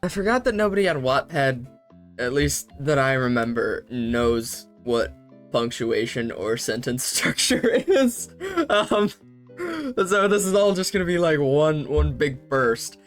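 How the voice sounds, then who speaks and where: A man reads fanfiction aloud for laughs, his voice a touch higher than most men's. He keeps breaking off mid-sentence for sarcastic asides and chuckles. Faint music plays underneath. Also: very animated, measured pace. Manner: sarcastic, self-interrupting asides with frequent laughs. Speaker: YouTuber reading fanfiction aloud. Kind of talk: comedic commentary and reading.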